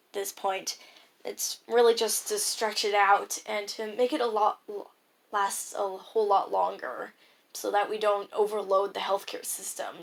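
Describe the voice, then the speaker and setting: Teen female, high school age, with noticeable vocal fry, for a reflective personal audio log; casual, hesitant delivery. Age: teenager, high school freshman. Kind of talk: casual spoken audio diary, reflective monologue.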